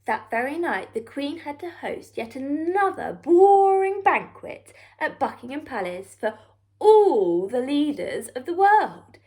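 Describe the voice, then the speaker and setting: A woman storyteller reading a children's book aloud with expressive, theatrical narration and deliberate emphasis. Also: sits high.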